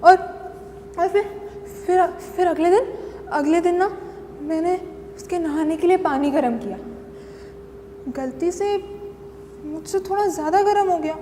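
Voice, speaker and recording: Casting: an unhinged battered wife confessing in a dramatic monologue; very high voice, slow halting delivery, repetitive and pleading. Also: female voice, mellow. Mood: meek, pleading. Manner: halting, repeats phrases, pleading. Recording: long echoing hall reverb, faint noise.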